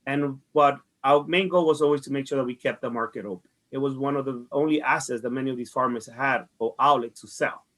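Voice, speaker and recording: A man's voice: mid-range voice, a little fast, hardly any pauses, fairly bright tone. A man from the Dominican Republic with a Dominican Spanish accent, giving conversational interview answers in an earnest way, often repeating phrases. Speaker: farmers market director being interviewed.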